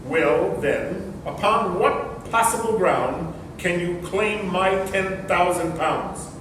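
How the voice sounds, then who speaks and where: Man playing a slow, stuffy rich uncle, echoey. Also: high-pitched. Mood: affronted. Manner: formal, deliberate phrasing. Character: stubborn, stuffy rich uncle, formal and exasperated. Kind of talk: staged readers theater comedy. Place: large room or hall, distant microphone. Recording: echoey room from far off, noisy.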